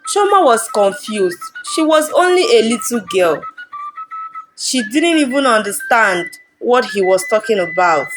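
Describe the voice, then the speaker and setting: A woman's voice: on the high side, poor audio. A woman working as a storyteller, narrating a scripted folktale.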